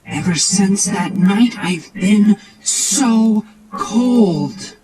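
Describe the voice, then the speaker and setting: A man's voice: high-pitched, animated, extremely slow, a little mellow, slight background noise, pitched above the performer's own voice, exaggerated voice, rough recording. Man voicing a vengeful drowned ghost girl. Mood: mournful.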